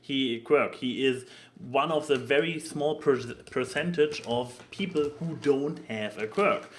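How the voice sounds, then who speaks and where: A man chatting casually as a podcast co-host, halting and stammering as he searches for words. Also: mid-range voice, slight background noise. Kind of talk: casual podcast chat about anime.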